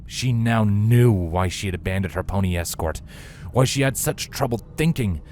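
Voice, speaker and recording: A man narrates a fantasy story in a dramatic, scripted reading style. His voice sits on the low side. Faint ambient noise runs behind him. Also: expressive, normal speed, a little mellow. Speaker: fan fiction narrator.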